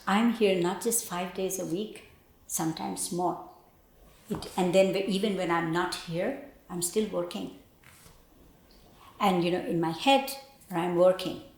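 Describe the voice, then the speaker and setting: An older woman from Bangladesh with a Bangladeshi accent, reflecting on her life as a painter in a thoughtful, halting way with pauses. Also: lowish voice, darkish tone. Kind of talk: reflective personal interview. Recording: roomy, echoey indoor sound.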